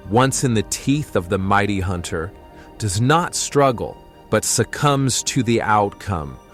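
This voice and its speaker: A man acts out a calm young mystic from a fantasy audiobook. His low voice moves very slowly, with solemn, formal courtesy, as if each thought is weighed. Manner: measured, philosophical, formal. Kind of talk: audiobook fantasy character acting.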